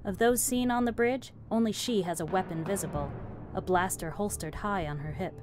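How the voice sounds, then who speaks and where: A woman's voice: medium pitch, moderate pace, noisy background. A woman doing scripted narration, reading stage directions as a narrator. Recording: music under the narration.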